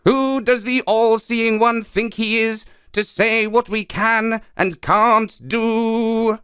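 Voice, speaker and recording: Man doing slow, buzzing angry little hornets. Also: very high voice, steady tone, fairly bright tone, clear, resonant tone, no room sound, studio quality, pitched above the performer's own voice, heavily acted. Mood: indignant. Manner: buzzing, drawn-out.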